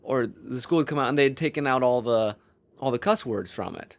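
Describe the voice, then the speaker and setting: A man speaks as a podcast co-host in an informal discussion. His delivery is halting and exploratory, as he works out his thoughts aloud and often restarts mid-sentence. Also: mid-range voice. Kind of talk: casual discussion of storytelling.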